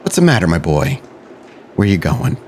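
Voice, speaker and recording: A man's voice: deep voice, wildly swooping pitch, normal speed, darkish tone, a little hiss or hum. A man voicing a ghostly father in a roleplay podcast, taunting and falsely soothing, with a patronizing manner.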